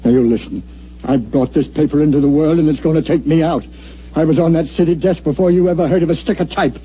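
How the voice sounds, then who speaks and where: A man acting a high-voiced, cranky old-radio newspaper editor. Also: fairly even intonation, fast, lightly acted. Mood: defiant. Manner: sardonic, theatrical, wisecracking. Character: cranky, sarcastic, beaten-down veteran newspaper city editor, fifty-four. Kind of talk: 1950s radio drama acting. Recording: muffled old radio recording with hiss.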